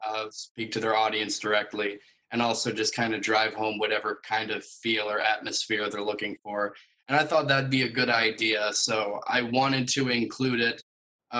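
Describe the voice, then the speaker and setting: A young man giving a semi-formal presentation about his student project, speaking in a hesitant, measured way. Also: mid-range voice, sharp tone, poor audio. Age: young adult.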